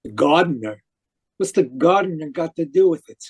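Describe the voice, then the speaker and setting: An actor playing an obsessive, domineering uncle in an old-style radio mystery, with theatrical, emphatic delivery. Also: male voice, fairly high pitch, expressive, normal speed, a little mellow, husky. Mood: indignant, evasive. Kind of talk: 1940s radio mystery acting, narrating and dialogue. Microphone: video call. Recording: muffled-edged online video call.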